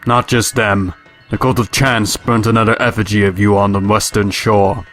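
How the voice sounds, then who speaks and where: A man's voice: lowish voice, little pitch movement, moderate pace, a bit bright, faint music behind, close to an everyday voice. A man playing a grave, formal counsellor to a lord in an audio drama.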